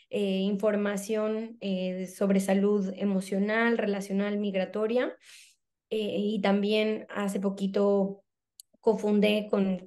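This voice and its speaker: Mellow-voiced woman chatting on a video call. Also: mid-range voice. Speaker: psychologist and therapist. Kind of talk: conversational self-introduction on a video call. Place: indoors in a room at home.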